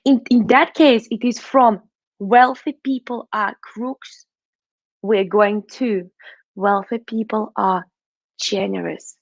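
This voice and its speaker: A woman's voice: mid-range voice, fairly even intonation, slow pace, creaky, no echo, dull audio. A woman with a Russian accent, a money mindset coach giving a motivational talk, putting questions to the listener and checking in with "right?" and "okay?".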